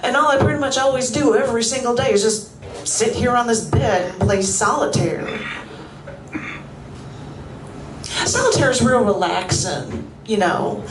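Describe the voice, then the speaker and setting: A woman's voice: on the high side, moderate pace, recorded from a distance, background noise, natural voice. A woman performing a live stage monolog as a confined, restless woman who talks in a put-on Southern accent, rambling and stammering with nervous laughs. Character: confined woman, chatty, restless, feigning craziness. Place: coffeehouse stage before a live audience. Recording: roomy room, mic set back.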